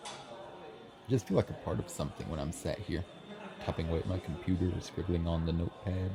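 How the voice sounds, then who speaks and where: A man's voice: deep voice, fairly quick, creaky. A man playing a shy, friendly young writer in an ASMR roleplay, chatting bashfully and earnestly, a little hesitant. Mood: friendly, reflective. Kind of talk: ASMR roleplay, soft conversational acting. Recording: busy café crowd murmur behind. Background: café crowd chatter.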